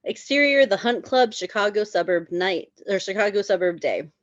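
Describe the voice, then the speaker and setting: A woman's voice: mid-range voice, animated, moderate pace, no room sound, muffled recording. A woman narrating screenplay stage directions at a table read.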